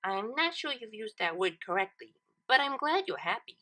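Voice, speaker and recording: A woman's voice: fairly high pitch, big pitch swings, moderate pace, bright, forceful tone, pitched above the performer's own voice. A woman voicing a grumbly but indulgent female pony in a story, speaking in a dry, understated way.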